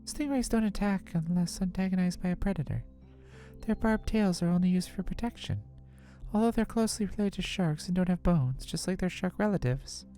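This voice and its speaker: A woman's voice: on the low side, fairly quick, warm tone, close to an everyday voice. Bookish teenage know-it-all girl woman acting. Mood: matter-of-fact. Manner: matter-of-fact, lecturing. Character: earnest, bookish teenage girl who loves reciting facts. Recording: music under the voice.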